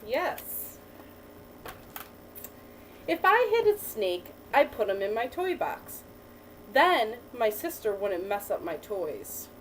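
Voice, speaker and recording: A woman teacher reading a picture book aloud to a child, pausing to ask questions and praise the answers. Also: mid-range voice, very animated. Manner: patient, encouraging, asks and praises. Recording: distant mic with faint background noise.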